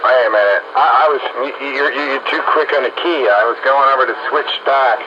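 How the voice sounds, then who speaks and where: A man's voice: mid-range voice, brisk. A man chatting casually over two-way radio as an operator, often stammering and repeating his words. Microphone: radio transmission. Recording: muffled two-way radio with clear static.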